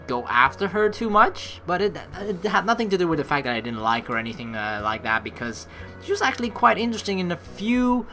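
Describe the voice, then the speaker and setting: A woman's voice: low-pitched, bright, forceful tone. A woman hosting a let's play with casual, rambling and informal commentary, over game music. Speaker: let's player, host. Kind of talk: casual gaming commentary, reading game text aloud. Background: game music faintly behind the voice.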